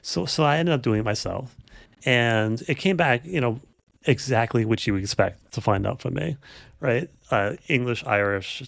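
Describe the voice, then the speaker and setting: Male podcast host, creaky voice, close dry sound, informal rambling chat. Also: mid-range voice, normal speed, dry recording. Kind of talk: casual unscripted podcast chat.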